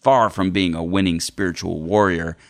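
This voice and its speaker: A man's voice: low-pitched, fairly even intonation, normal speed, voice pushed down. A man performing a dramatic reading as a deliverance preacher, solemnly instructing and warning against the devil with theatrical emphasis. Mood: earnest warning.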